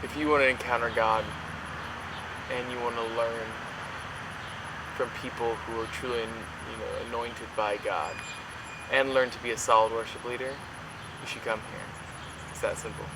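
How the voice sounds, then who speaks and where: A young man from Oklahoma answers casually, pausing and restarting often. His voice stays flat and even. Loud traffic and ambient noise sit under him. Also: medium pitch. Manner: halting, many fillers and restarts. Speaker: worship internship graduate.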